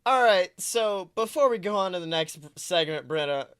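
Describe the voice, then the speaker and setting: A man hosting a podcast in casual, chatty banter, excitable and jokey with theatrical emphasis. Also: high-pitched, normal speed, clean tone, dry recording, clean studio sound. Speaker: podcast host.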